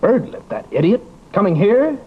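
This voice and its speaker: An actor playing a self-important, testy scientist in an old radio drama, speaking in a pompous, clipped, theatrical way. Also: male voice, high voice, sing-song, normal speed, slightly rough, heavily acted. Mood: scornful. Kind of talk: old-time radio comedy-drama acting. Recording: muffled, noisy old radio recording.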